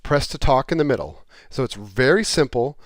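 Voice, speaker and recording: Man, medium pitch, casual explainer, product presenter, plain repetitive phrasing, very little room sound. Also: lively intonation, normal speed, no echo, clean studio sound.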